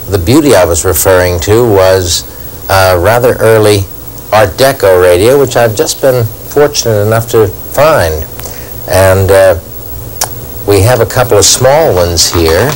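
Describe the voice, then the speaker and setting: Measured low-voiced middle-aged man. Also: a little hiss or hum, poor audio. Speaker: actor and collector, guest. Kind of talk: conversational show-and-tell. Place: wood-furnished room at a table.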